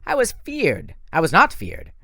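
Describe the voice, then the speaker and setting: A man's voice: fairly high pitch, wildly swooping pitch, unhurried, bright, forceful tone, no room sound, clean studio sound, voice pushed up, exaggerated voice. A man putting on a gloating French-accented monster. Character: menacing male monster with heavy French accent.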